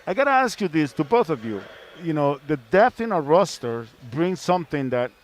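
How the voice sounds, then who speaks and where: A male sports broadcaster in a panel discussion, speaking in a halting way and repeating words. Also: fairly high pitch, big pitch swings. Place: sports arena. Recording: faint venue noise.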